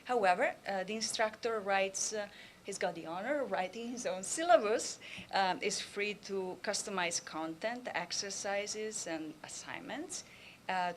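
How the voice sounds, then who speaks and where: A woman presenting at a conference, speaking at an even middle pitch. She talks in measured phrases broken by frequent "uh" and "um" pauses. A faint background hiss sits under the voice. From Italy. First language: Italian. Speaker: Italian language instructor. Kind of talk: conference talk.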